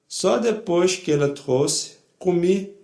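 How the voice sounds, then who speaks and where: An older man tells his life story as personal testimony. His voice sits fairly high, and he speaks in short, plain sentences, often repeating himself. The room gives the recording an audible echo. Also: slow pace, creaky, dark tone. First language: not Portuguese. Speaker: Holocaust survivor giving testimony. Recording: echoey room.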